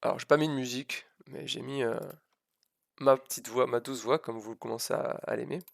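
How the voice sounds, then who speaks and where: A man's voice: medium pitch, fairly even intonation, a little fast, gravelly, dry recording. A man giving an informal live physics lesson, hesitant and self-deprecating, repeating and stressing key words as he explains. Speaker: physics teacher streaming live.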